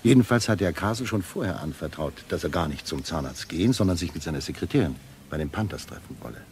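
An actor playing a shrewd, cynical intelligence doctor in a German radio play, with a dry, measured delivery. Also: male voice, deep voice, animated, a little mellow. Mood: coolly insistent. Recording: faint noise on old radio recording.

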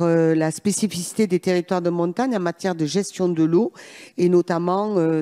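A woman's voice: lowish voice, warm tone. A middle-aged woman giving a formal parliamentary speech, partly read from notes, into a close microphone. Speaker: member of parliament. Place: large parliamentary chamber. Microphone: gooseneck microphone held close.

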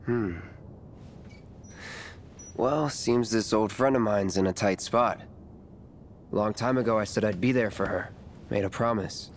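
An actor with an American accent playing an aloof, hard-edged young mercenary with a terse, guarded delivery in a video game. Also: male voice, on the low side, unhurried, pauses often, slight background noise, natural voice. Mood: guarded, quiet. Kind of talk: video game cutscene voice acting.

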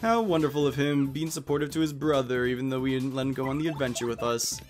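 A man's voice: medium pitch, fast. A man hosting a casual video game playthrough in a rambling, chatty way. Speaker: Let's Play host. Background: video game music.

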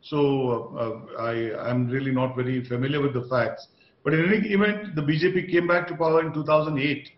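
A man's voice: mid-range voice, steady tone, a little fast. A middle-aged man with an Indian accent, a party spokesperson arguing in a TV debate with emphatic repetition and rhetorical questions. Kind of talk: TV debate argument. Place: small room, indoors, on video call. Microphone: video call. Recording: dull, echoey video-call sound.

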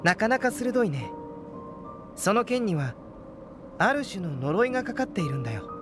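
A calm, light-voiced young alchemist. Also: male voice, high voice. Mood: explaining. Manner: composed, polite, measured and curious. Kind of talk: Japanese game dub. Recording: game audio with clear music.

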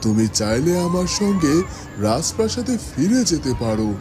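Man playing an animated, evasive king over loud music. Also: a little high, moderate pace. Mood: placating, weary. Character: weary, evasive king placating his queen. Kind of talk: fairy-tale cartoon voice acting.

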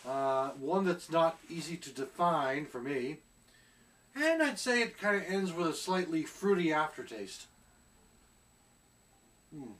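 A middle-aged man reviewing a beer at home in a casual, chatty way, often pausing on fillers as he searches for words. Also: fairly high pitch, animated, fairly bright tone, recorded from a distance. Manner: chatty, hesitant with many fillers. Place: small room at home, seated indoors.

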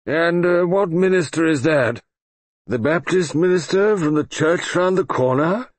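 A sleepy, gloomy old colonel with a wheezing, drawn-out way of speaking, as a man puts him on. He sounds heavy and unhurried, in a dry studio read for an audiobook. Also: on the high side, no echo, voice pushed up. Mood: drawling. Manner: slow, drawling, wheezy. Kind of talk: audiobook character voice.

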